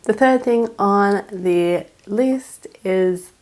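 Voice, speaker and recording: A young Australian woman with a raspy voice from a cold, chatting through practical tips in a home vlog. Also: mid-range voice, very slow, darkish tone. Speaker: vlogger. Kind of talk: casual advice vlog.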